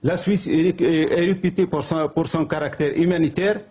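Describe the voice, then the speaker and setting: Man, Arabic native speaker, debate guest, husky voice, halting, frequent restarts. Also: fairly high pitch, steady tone, dark tone. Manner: halting, with many pauses and restarts. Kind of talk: televised debate.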